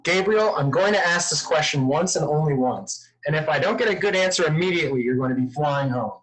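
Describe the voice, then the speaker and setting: A man's voice: a little high, lively intonation, brisk, fairly bright tone, rough recording. A man playing a responsible, exasperated brother in his twenties, sarcastic and scolding, in a screenplay table read. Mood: stern. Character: reserved, exasperated responsible 26-year-old brother.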